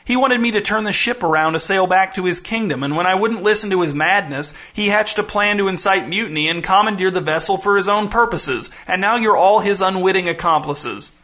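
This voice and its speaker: A man voicing an imperious, indignant ship's captain in a story, shouting his accusations. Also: sits high, brisk, hardly any pauses, pitched above the performer's own voice. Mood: accusing, defiant. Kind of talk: storybook character voice.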